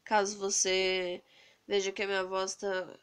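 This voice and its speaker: A young Brazilian woman with a cold, hoarse and stuffy-nosed, venting earnestly into her phone camera in a rambling casual vlog. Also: mid-range voice, completely flat delivery, measured pace. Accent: Brazilian Portuguese. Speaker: vlogger. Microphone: phone camera held close to the face.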